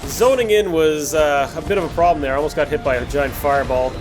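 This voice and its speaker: Male gaming host, slightly high voice, chatty and reflective casual commentary with hesitant phrasing, music clearly behind. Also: fast. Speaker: host of a gameplay series. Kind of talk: casual post-recorded game commentary. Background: music under the voice.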